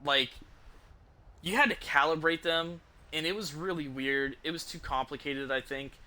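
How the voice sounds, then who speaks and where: Male streamer, very bright forceful voice, slightly high pitch, informal, enthusiastic, halting rambling chat. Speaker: game streamer. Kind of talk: casual rambling livestream chat about game mods.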